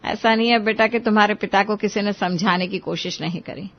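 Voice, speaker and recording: A woman's voice: mid-range voice, steady tone, a little fast, natural voice. Woman as a soothing, resigned mother, muffled audio. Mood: consoling. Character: consoling, resigned mother soothing her grown child. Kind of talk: Hindi moral-story audio drama.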